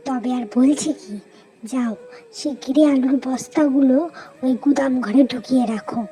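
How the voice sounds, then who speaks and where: A woman's voice: high-pitched, fairly even intonation, moderate pace, warm tone, lightly acted. A woman voicing a greedy, scheming, bossy farmer's wife in a melodramatic cartoon. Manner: commanding, melodramatic. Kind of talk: animated storybook cartoon dialogue.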